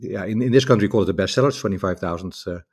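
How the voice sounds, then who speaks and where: A man's voice: fairly low pitch, racing, darkish tone, slightly rough. A Dutch man speaking English as a guest author, talking over a video call in a rambling, reflective way with many restarts. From Netherlands. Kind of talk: casual conversation.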